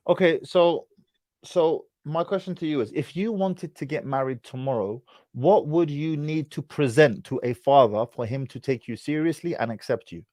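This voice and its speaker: A man's voice: a little high, normal speed, a little mellow, no room sound. Direct, brotherly man hosting a call-in. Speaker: host. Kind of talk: live stream call-in advice. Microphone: online call.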